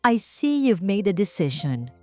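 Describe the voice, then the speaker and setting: A woman's voice: medium pitch, sing-song, measured pace, dark tone. Curt wealthy man acted by a woman narrator, Filipino accent, formal, emotionless, businesslike, audio romance, faint music. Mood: cold, curt. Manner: formal, clipped, emotionless briefing. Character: cold, curt, businesslike rich young man. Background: background music.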